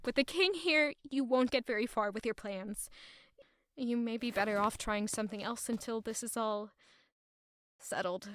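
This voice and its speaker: A woman's voice: on the high side, moderate pace, a bit bright, slight background noise, close to an everyday voice. A woman acting as a headstrong, guarded young noblewoman in a fantasy audio drama, earnest and defiant. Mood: subdued.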